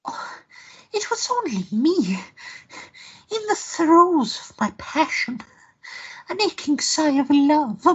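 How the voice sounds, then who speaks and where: A woman's voice: high-pitched, very animated, takes it slowly, highs cut off, heavily acted. A woman playing an amorous, possessive lover in a radio play, sighing and cooing theatrically.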